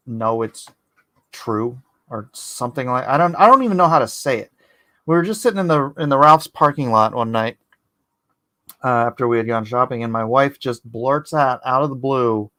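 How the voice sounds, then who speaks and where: A man from California, raised in San Jose, chatting casually with dry jokes and halting, reflective stories. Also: medium pitch, sharp tone, no echo. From born in Hawaii, raised in San Jose. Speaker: co-host, friend. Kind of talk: casual chat.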